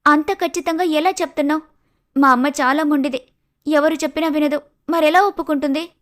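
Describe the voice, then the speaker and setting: A woman's voice: high voice, steady tone, brisk, pitched above the performer's own voice, lightly acted. A woman voicing a distressed young woman in love in a story, pleading and plaintive. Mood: worried.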